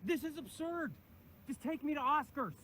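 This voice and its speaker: A man playing an exasperated, high-voiced husband. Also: animated, normal speed, fairly bright tone, slight background noise. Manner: exasperated, arguing. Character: exasperated husband trying to reach his wife. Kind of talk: comedic sketch acting.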